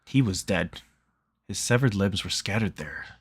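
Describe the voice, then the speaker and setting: A man voicing a shaken young man reporting something gruesome in a halting, insistent way. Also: low-pitched, lively intonation, breathy edge, heavily acted. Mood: shaken, grim.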